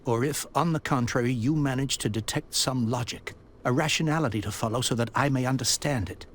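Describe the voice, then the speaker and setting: A man playing a proud, grieving elderly gentleman who speaks formally and earnestly in a video game. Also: mid-range voice, fairly quick, natural voice. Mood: pleading, formal. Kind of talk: dramatic video game dialogue.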